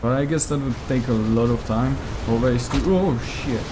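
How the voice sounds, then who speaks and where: A man with a Czech accent whose native language is Czech, streaming a game live and chatting with viewers, often pausing to search for English words. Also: medium pitch, noisy background. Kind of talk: casual live gameplay stream, answering chat.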